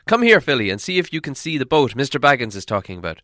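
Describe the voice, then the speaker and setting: A man's voice: mid-range voice, very animated, fast, sharp tone, no room sound, voice pushed up. A commanding old dwarf chief acted by a male reader speaks out emphatically in a storybook adventure. He is stern and blunt, giving orders with authority, and the sound is dry and close.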